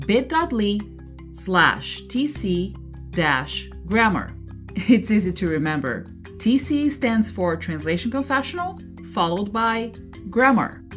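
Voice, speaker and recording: A woman who speaks Portuguese natively, hosting a solo podcast and explaining things with careful enunciation. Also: fairly low pitch. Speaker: podcast host; translator.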